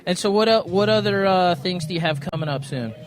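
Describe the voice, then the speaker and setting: A man's voice: high voice, brisk, a crowd in the background. A man hosting a casual radio show, bantering with enthusiasm and joking along with his guest.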